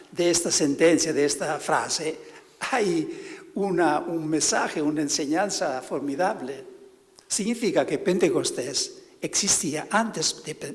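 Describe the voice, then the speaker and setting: A man, a priest, giving a spiritual talk to fellow priests, halting and often stopping to search for words. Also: on the high side, in a large hall.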